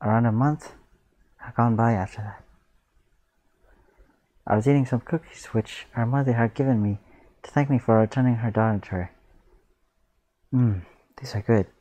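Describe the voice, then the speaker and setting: A man reading aloud casually as a reactor, with spontaneous, expressive exclamations between the lines. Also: medium pitch, dry recording, low-quality recording.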